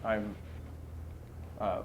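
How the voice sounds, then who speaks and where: A man's voice: very slow, dull audio, slight background noise. A man chatting casually as a player in a tabletop game.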